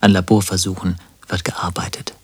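An actor playing a wry, melancholic musician in a satirical radio play, speaking with theatrical, ironic lilt. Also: male voice, deep voice, level tone, normal speed, slightly rough, no echo. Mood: sardonic. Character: wry, melancholic male musician, mockingly lyrical.

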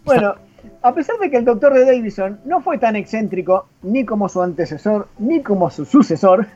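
A man's voice: high voice, lively intonation, moderate pace, mellow. A man with an Argentine accent, co-hosting a show with semi-scripted commentary and casual chat. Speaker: podcast co-host. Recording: dull lo-fi sound over music.

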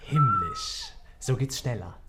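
Wildly swooping, mocking squirrel sidekick. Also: female voice, rumbling low, huge pitch swings, takes it slowly, heavy background noise, exaggerated voice. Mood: gleeful chanting. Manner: teasing, swooping. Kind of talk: radio play acting.

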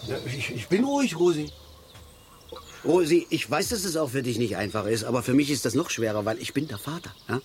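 An actor playing a middle-aged father and keen angler in a radio drama, blunt and aggrieved, speaking haltingly and repeating his words. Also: mid-range voice, animated, slight background noise, natural voice. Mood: aggrieved, defensive. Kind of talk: naturalistic German radio drama acting.

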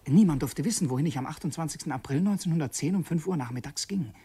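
An actor playing an earnest, brooding revolutionary who narrates in an intense, halting way in a radio play. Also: male voice, on the high side, animated, mellow, slightly rough, full character voice. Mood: uneasy. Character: earnest, brooding male revolutionary narrating his secret errand. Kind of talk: radio play, first-person dramatic narration.

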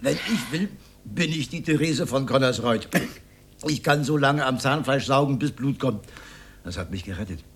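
An actor playing an older communist and failed stage actor in a radio drama, sardonic, defiant and declamatory. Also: male voice, mid-range voice, lively intonation, moderate pace, fairly bright tone, husky. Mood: proud, haunted. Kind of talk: radio drama monologue, theatrical.

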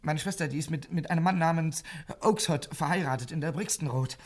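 A man's voice: a little high, quick pace, lightly acted. An actor playing a nervous male thief who stammers and pleads as he confesses, in 1950s radio drama style. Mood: nervous confession.

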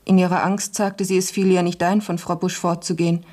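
An actress playing a serious, shrewd young housemaid in a German radio play, speaking plainly and in a subdued way. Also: female voice, on the low side, flat delivery, brisk, mellow, lightly acted. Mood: quietly determined.

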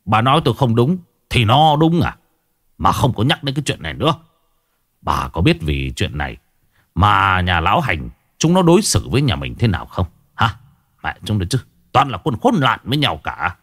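A man's voice: on the low side, fairly bright tone, heavily acted. A man voicing a hot-tempered, stubborn old husband who scolds and snarls in bitter, foul-mouthed questions, in a dramatic audio story. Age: older.

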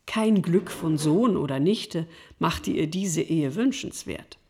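An actress playing a husky-voiced lady narrating a classic family novel in an audio drama. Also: low-pitched, slow pace, warm tone, exaggerated voice. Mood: unhurried storytelling.

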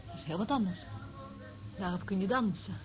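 An actress playing a weary, cynical surveillance worker in a radio play, speaking in a dry, dismissive way. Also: female voice, medium pitch, fairly quick, soft background music, lightly acted. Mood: brooding. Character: weary, cynical woman working as surveillance eavesdropper. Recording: noisy, tinny band-limited old radio recording.

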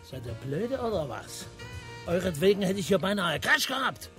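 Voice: mid-range voice, big pitch swings, moderate pace, fairly bright tone, soft background music. An actor playing an aggressive, swaggering hothead driver in a radio play. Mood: angry, menacing.

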